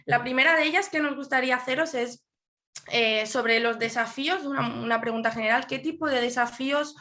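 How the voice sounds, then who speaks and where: A woman moderates a panel over a video call, her voice sitting a little high. She speaks in a measured, friendly way, pausing with small fillers as she hands over turns. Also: bright, forceful tone.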